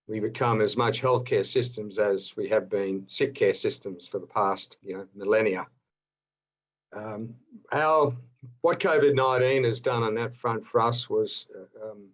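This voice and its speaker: A middle-aged Australian man speaking unscripted on a panel as a health insurance executive, halting and discursive, often rephrasing mid-sentence. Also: lowish voice, lo-fi sound.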